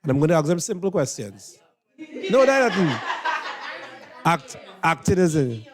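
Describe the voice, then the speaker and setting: A man's voice: fairly high pitch, sing-song, normal speed, a crowd in the background, noisy background. A man with a Trinidadian accent hosting a casual interview as the one asking questions. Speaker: interviewer and host.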